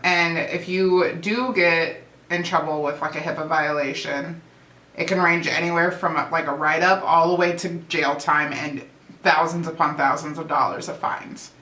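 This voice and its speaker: A young woman talking casually to camera as a vlogger, chatty and relaxed with fillers and restarts. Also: low voice, moderate pace. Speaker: vlogger and nursing aide. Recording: echoey room.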